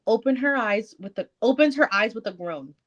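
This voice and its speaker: A woman's voice: a little high, lively intonation, a little fast, highs cut off. A woman co-hosting a book club podcast, reading aloud dramatically and casually, breaking off to comment and swear.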